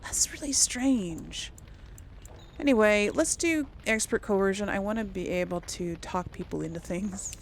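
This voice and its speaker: A woman's voice: medium pitch, measured pace, creaky, slight background noise. A woman giving casual video game commentary, conversational and musing as she thinks aloud and talks herself through choices. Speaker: let's play host.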